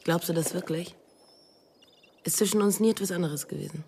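A woman's voice: fairly low pitch, a little fast, warm tone, slight background noise, close to an everyday voice. An actress playing a dealer's wounded, challenging girlfriend in a radio crime drama, speaking in a pointed, reproachful way. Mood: hurt, reproachful.

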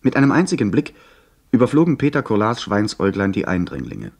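The storyteller of a pirate radio play. His low male voice narrates in a literary, dramatic style, as someone recalling his own adventure. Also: sing-song, brisk, darkish tone. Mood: gripping, measured.

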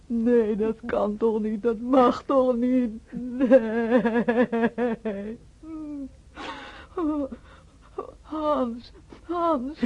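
Radio drama mother: bitter, anguished, highish husky voice, muffled recording. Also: full character voice. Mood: grief-stricken, weeping. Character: bitter, anguished working-class mother of soldier son. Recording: dull old radio recording.

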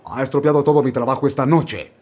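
A man's voice: medium pitch, a little mellow, close to an everyday voice. A man with a Mexican accent voicing a sly, courtly devil in a comedy radio drama. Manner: sly, chuckling, drawn-out and courtly. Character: sly smooth-talking devil, male adult.

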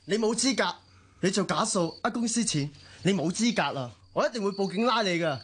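A captive young boss in a Cantonese radio drama, with a Hong Kong accent. The voice is strained and raspy, a little high for a man, and bristles with weakened defiance. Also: expressive, a little fast, a bit bright, full character voice. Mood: defiant anger.

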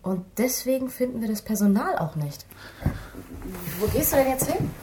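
An actress playing a sensible, sceptical police colleague in a German radio crime drama, with a questioning, conversational way of talking. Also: female voice, a little high, very animated, moderate pace, background noise. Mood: sceptical, concerned.

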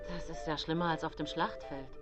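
A woman's voice: deep voice, fairly even intonation, a little fast, close to an everyday voice. A woman playing a hearty soldier back from war in a radio drama, breezy and matter-of-fact. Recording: dull sound with music behind.

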